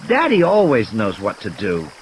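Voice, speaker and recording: A man's voice: lowish voice, huge pitch swings, normal speed, noisy background. A man voicing a stubborn, bratty little girl with a petulant, taunting manner in an audiobook drama. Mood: wistful, stubborn. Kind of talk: audiobook character acting.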